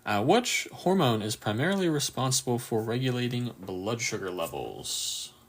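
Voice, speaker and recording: A man with a croaky, just-woken-up voice runs a casual live quiz for anatomy students, reading answer options and cheering on viewers' replies. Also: mid-range voice, big pitch swings, normal speed. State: just woke up, a bit tired. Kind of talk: casual livestream quiz review.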